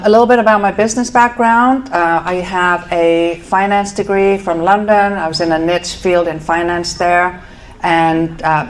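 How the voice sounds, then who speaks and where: A middle-aged woman from Denmark with a Danish accent, giving a chatty talk to a live audience at an event venue, with fillers and self-corrections. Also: fairly low pitch. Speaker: speaker. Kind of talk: casual presentation to a live audience.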